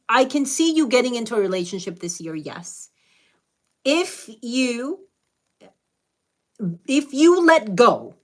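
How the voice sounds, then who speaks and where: A woman's voice: a little high, fairly bright tone. A woman with a Spanish accent, Spanish her first language, giving blunt, direct, motherly advice to viewers on a live stream.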